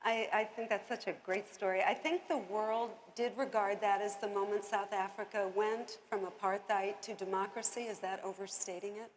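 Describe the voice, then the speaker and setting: Woman moderator on stage, admiring questions, normal pitch, slight background noise. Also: fairly even intonation, moderate pace, few pauses, rough recording. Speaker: moderator, interviewer. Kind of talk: on-stage interview. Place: conference stage.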